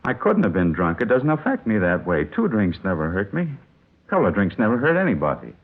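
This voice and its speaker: An American actor playing an evasive, protesting hit-and-run suspect in a 1950s radio drama, stammering under pressure. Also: male voice, lowish voice, wildly swooping pitch, brisk, exaggerated voice. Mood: panicked, pleading. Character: evasive, indignant male hit-and-run suspect, cornered and pleading. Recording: muffled, narrow-band vintage radio recording.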